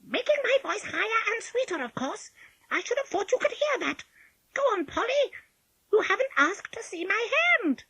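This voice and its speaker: A woman voicing an irritable male storybook wolf who fakes a high, sweet motherly voice. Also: sing-song, bright, forceful tone, dry recording, exaggerated voice, poor audio. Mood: flustered, irritable. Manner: hurried, irritable.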